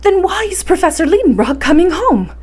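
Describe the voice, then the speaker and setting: A woman's voice: extremely high pitch, big pitch swings, fairly quick, a little mellow, voice pushed up. A woman voicing an anxious, fretful female cook in a storybook, exclaiming as she talks. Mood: anxious surprise. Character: flustered, anxious female house cook in old Germany.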